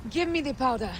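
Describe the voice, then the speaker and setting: A woman's voice: extremely high pitch, a little hiss or hum, exaggerated voice. An actress playing a bold, defiant warrior woman eager for battle in a dramatic video game cutscene. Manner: bold, spirited.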